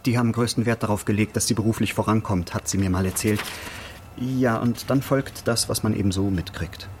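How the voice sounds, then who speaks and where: A male actor plays an officious male neighbour in a naturalistic radio play. His voice sits fairly low, and he is hesitant yet wordy and precise to a fault. Some ambient noise runs faintly behind him. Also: steady tone, brisk, natural voice. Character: nosy, officious male neighbour who logs his fellow tenants. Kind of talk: naturalistic radio drama acting.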